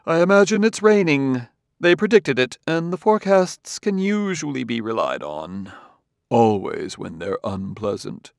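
Guy doing a gushy courtly scientist, fake friendly, voice swooping up high, storybook dialogue. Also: expressive, a little slow, vocal fry, no room sound, clean studio sound. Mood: smoothly gracious. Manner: courtly, talkative, softly running sentences. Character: smooth, effusive male roboticist, wolfish false friendliness. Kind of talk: audiobook character dialogue.

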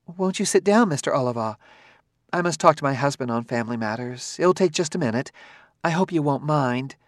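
A man voicing a nervous, worried wife in an audiobook, with a breathless, hurried, fretful manner. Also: medium pitch, animated, dry recording, clean studio sound, voice pushed up, lightly acted. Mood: timid politeness. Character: nervous, anxious wife, smiling politely through worry. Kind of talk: audiobook character voice.

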